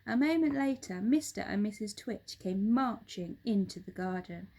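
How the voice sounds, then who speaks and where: A woman reading a children's story aloud expressively, with dramatic, deliberate phrasing. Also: mid-range voice, expressive, a little mellow.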